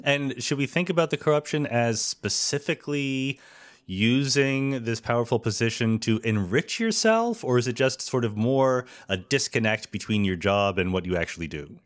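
A man's voice: mid-range voice, animated, moderate pace. A man hosting a podcast interview, asking questions in a thoughtful, loose, discursive way. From United States. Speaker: podcast host.

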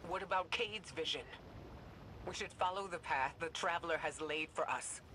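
An actress playing an earnest, cautious, protective female leader in a video game, speaking in a measured way. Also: fairly low pitch, sharp tone, slight background noise, rough recording. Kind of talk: dramatic video game acting.

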